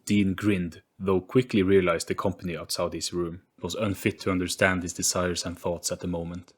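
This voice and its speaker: A man's voice: low-pitched, little pitch movement, normal speed. A man reading scripted narration for a storybook-style audio play.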